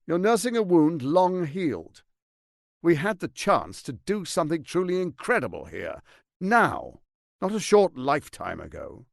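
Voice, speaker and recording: Pompous scheming tyrant with wildly swooping delivery. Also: male voice, fairly high pitch, creaky, dry recording, clean studio sound. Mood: cold, reproachful. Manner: pompous, theatrical, articulate, condescending. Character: scheming, silver-tongued tyrant archduke, grandiose and menacing. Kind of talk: theatrical video game voice acting.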